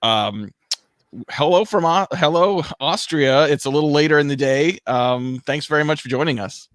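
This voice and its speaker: A man's voice: a little high, moderate pace, no echo. A man hosting a live online interview, asking friendly, upbeat questions with a few fillers. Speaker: interview host. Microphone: podcast-style microphone.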